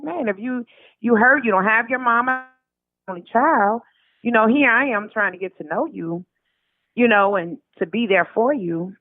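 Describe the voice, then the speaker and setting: A woman's voice: fairly high pitch, no room sound. An American woman telling personal stories casually as an interview guest, colloquial and acting out quoted dialogue. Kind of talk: casual conversational storytelling. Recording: tinny narrow phone line.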